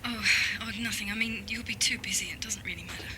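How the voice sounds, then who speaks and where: An actress playing a distressed, hesitant woman, speaking in a hushed, faltering way. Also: mid-range voice, steady tone, quick pace, poor audio. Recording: hiss on old TV audio.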